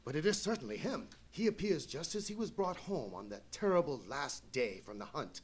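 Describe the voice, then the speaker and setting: A man playing an anxious, courteous English lord in a live radio play, with a husky voice and formal, florid speech. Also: fairly high pitch, very animated, moderate pace. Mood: haunted, earnest. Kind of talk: live old-time radio play acting.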